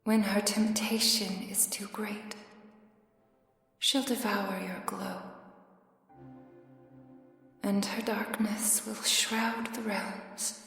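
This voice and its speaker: A woman voicing a solemn, remorseful fey spirit mentor in a scripted ASMR fantasy roleplay, speaking in a soft, hushed half-whisper with grave resolve. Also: medium pitch, little pitch movement. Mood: grave, foreboding. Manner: hushed, slow, deliberate, solemn. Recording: very echoey, long reverb, music behind. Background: music.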